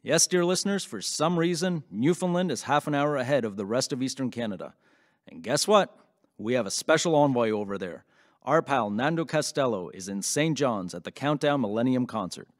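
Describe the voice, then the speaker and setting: Casting: breezy male radio DJ, acted by a man, mid pitch, dry studio sound, jokey patter, audio drama. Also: lively intonation, brisk, no room sound, natural voice. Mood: jokey, upbeat. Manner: chatty, jokey, casual. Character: breezy, wisecracking male radio show host.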